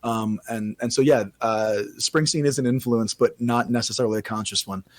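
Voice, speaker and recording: Raspy-voiced man, dry close sound. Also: mid-range voice, fairly even intonation, normal speed, no echo. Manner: rambling, self-correcting casual talk. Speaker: podcast guest, singer-songwriter. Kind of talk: casual podcast chat.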